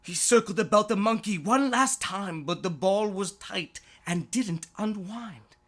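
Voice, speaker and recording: A storyteller narrating a rhyming bedtime story in a theatrical, drawn-out way with dramatic swoops. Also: medium pitch, a bit bright. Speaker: storyteller, author reading own story.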